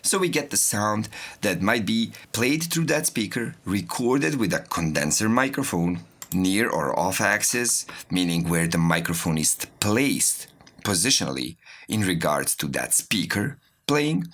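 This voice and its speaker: A man giving a software tutorial as an instructor, explaining step by step while he demonstrates. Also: fairly low pitch, big pitch swings, unhurried. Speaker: music production instructor.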